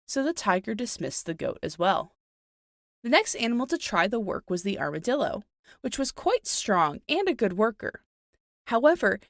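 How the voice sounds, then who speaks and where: A woman's voice: mid-range voice, a bit bright, no echo, clean studio sound. A woman hosting a podcast, moving between casual chat and folktale storytelling with light wry asides. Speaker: podcast host.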